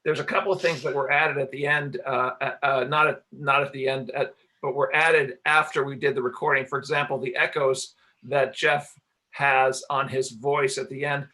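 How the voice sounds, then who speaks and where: A man answering questions in a casual panel Q&A, explaining things in a halting, explanatory way as the director and engineer of a production. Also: mid-range voice, flat delivery, sharp tone. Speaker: radio play director and sound engineer.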